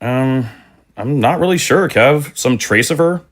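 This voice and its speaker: A man playing a wistful, hesitant middle-aged father in an audio drama, speaking naturally and haltingly. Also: mid-range voice, lively intonation, lightly acted. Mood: searching, wistful. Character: wistful aging father longing for closure.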